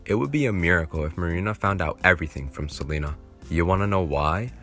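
A man acting a taunting, spiteful male vampire rival in an animated roleplay drama. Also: low-pitched, fast talker, soft background music.

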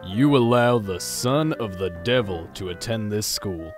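A man voices a surly vampire lackey in an animated comic dub. His deep voice is scornful and defiant, with faint music underneath. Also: measured pace. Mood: sneering. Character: surly, sneering vampire henchman.

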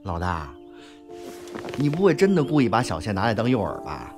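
A loyal, informal young underling, played by a man in an audio drama. He talks in a slangy, hesitant way with very lively pitch, over faint music. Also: brisk. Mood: hesitant, probing. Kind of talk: Chinese audio drama acting.

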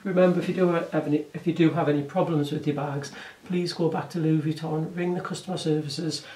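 A man in his forties with an English accent, chatting casually through a bag review, hoarse from a sore throat and often correcting himself. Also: on the high side, fairly even intonation, brisk, warm tone, echoey room, recorded from a distance, slight background noise. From England. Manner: rambling, self-correcting, chatty. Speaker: vlogger reviewing bags. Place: room indoors, curtain backdrop.

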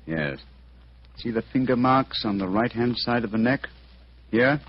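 An actor playing an exacting English gentleman detective in a radio drama, incisive and articulate. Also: medium pitch, a little slow, lightly acted. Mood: analytical. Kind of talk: old-time radio drama acting. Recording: dull, hissy old radio recording.

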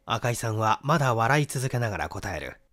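A middle-aged man narrates literary mystery fiction. His medium-pitched voice moves up and down in a lively way, giving the reading a dramatic, expressive shape. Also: fairly quick. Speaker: audiobook narrator, stage actor.

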